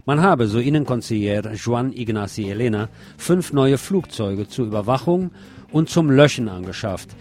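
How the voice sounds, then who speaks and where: A man's voice: medium pitch, a little mellow. Male radio news presenter over music. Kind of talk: scripted news reading with informal asides.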